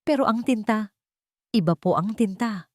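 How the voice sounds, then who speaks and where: A woman's voice: mid-range voice, normal speed, dry recording, studio quality, pitched above the performer's own voice, natural voice. A woman with a Filipino accent voicing a polite, brave, clever ten-year-old child who explains carefully in a narrated story. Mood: confident, explaining.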